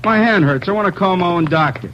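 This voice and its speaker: A man's voice: high-pitched, quick pace, a bit bright. A man acting a surly, defiant gunman in a 1940s radio crime drama, with an American accent and a sullen, evasive delivery. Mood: agitated, wheedling. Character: surly, defiant young punk gunman in custody. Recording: noisy, dull vintage radio recording.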